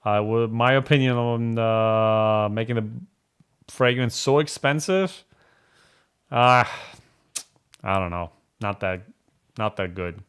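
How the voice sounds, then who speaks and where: A man's voice: lowish voice, takes it slowly, long pauses, a bit bright, clean tone. A man from Germany hosting a casual live question-and-answer chat, speaking in a candid, blunt and rambling way. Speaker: host answering viewer questions.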